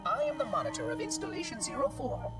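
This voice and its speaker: A small floating robot caretaker, high and sing-song, prim, polite and cheerful. It speaks precisely in a game cutscene, somewhat far off under loud music. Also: male voice, huge pitch swings, quick pace, heavily acted. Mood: chipper, polite. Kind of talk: video game cutscene character acting.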